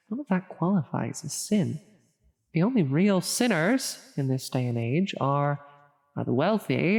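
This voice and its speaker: A woman's voice: low-pitched, darkish tone. An awkward female demon acted by a woman speaks in long, echoing reverb. She is officious yet reluctantly helpful, stammering and correcting herself like a flustered middle manager in an intimate sleep roleplay. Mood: earnest, explaining. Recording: long reverberant hall echo.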